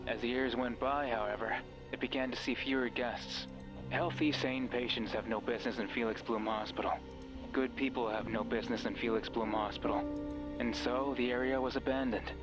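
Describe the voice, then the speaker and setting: A man's voice: mid-range voice, fairly even intonation, lightly acted, poor audio. Man voicing a sinister, coaxing mystery guide over music. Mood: brooding. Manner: measured, ominous, coaxing. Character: mysterious controlling male radio voice guiding a listener, sinister. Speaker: guide character in a horror game. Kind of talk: horror visual novel voice acting.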